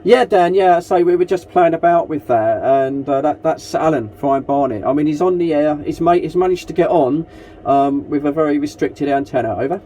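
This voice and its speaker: A man talks politely and casually as a CB operator, full of call signs and jargon. His voice sits a little high yet stays soft-edged, over faint radio noise. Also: normal speed. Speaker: amateur CB radio operator running a group net. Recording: noisy CB radio transmission with hiss.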